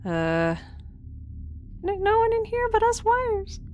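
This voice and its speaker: Lively, quirky female fugitive. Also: very high voice, takes it slowly, muffled recording, slight background noise, full character voice. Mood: awkward, hopeful. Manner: rambling, informal, playful. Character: quirky, chatty young female fugitive narrating into her recorder. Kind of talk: science fiction audio drama, recorded diary.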